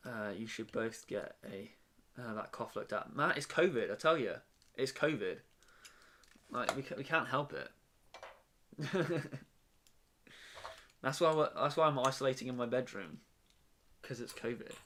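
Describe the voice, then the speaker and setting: A man's voice: mid-range voice, lively intonation, unhurried, pauses often, fairly bright tone, slight background noise. Rambling young man streaming. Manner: rambling, chatty, many fillers and restarts. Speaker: live streamer building a brick set. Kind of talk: casual live-stream chat. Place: bedroom.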